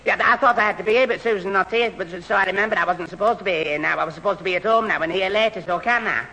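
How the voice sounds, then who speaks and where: A gormless, nervous lad with a high, piercingly bright male voice. He stammers and ties himself in knots, in an old radio sitcom with hall reverb. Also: rapid-fire. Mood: muddled. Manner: stammering, rambling, tangled.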